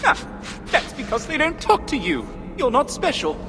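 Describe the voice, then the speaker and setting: An eccentric, secretive dwarf who is clearly cracked. The high voice swings wildly from muttering to giggly protest, in a long cavernous reverb. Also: male voice, wildly swooping pitch, moderate pace, over music, heavily acted. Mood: defensive, gleeful. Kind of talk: comic video game acting. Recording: reverberant, noisy game cutscene ambience.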